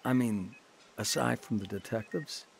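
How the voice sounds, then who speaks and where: A man's voice: fairly low pitch, a little slow, vocal fry, a little mellow, a little hiss or hum. An actor with an American accent playing a wary, hard-bitten man on the run in a radio drama, terse and wry. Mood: guarded, wry. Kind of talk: radio drama acting and first-person narration.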